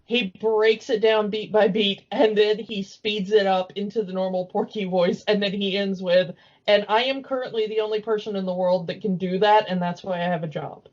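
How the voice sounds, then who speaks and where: A woman's voice: medium pitch, steady tone, moderate pace, a little mellow. A woman co-hosting a podcast, chatting casually as a fan. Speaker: podcast co-host. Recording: dull lo-fi voice recording.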